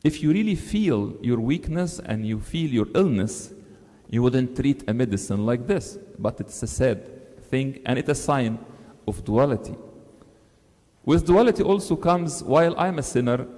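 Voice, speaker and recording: A priest preaches from a lectern, earnest and emphatic, his pitch climbing on the words he stresses. His voice is dark and mellow. It rings out with a long reverb that fills the large church. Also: lively intonation, a little slow. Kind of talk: sermon. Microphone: stand mic on the lectern.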